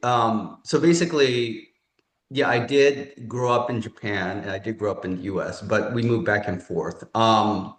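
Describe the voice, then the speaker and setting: A man's voice: fairly low pitch, unhurried. A man in his forties from California, a podcast guest chatting casually, rambling and correcting himself with many fillers. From California, United States. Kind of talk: casual interview chat. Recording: roomy echo.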